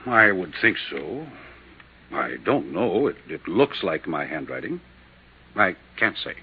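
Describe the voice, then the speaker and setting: Male, doctor, low voice, animated pitch, curt, dismissive, evasive, muffled vintage radio drama. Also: slow pace, heavily acted. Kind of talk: 1950s radio acting. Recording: muffled, faintly noisy old radio recording.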